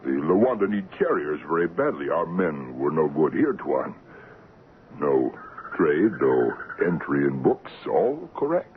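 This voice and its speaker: An actor in a radio drama playing a shrewd, unrepentant African trading post assistant who speaks in measured, terse, simple sentences. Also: male voice, rumbling low, sing-song, full character voice. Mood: cool, unrepentant. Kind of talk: old-time radio drama acting. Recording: muffled, noisy vintage radio broadcast.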